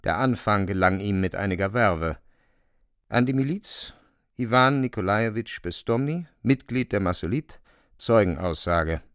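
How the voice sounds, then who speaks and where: A man narrating a literary radio play in a deliberate, expressive storytelling manner, close to the microphone. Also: on the low side, clear, resonant tone, dry recording.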